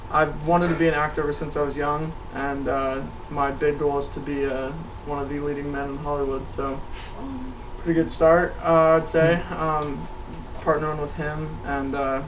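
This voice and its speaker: A young American man giving a casual, earnest and somewhat halting introduction to a group as an actor. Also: on the high side. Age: young adult. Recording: noisy, distant microphone.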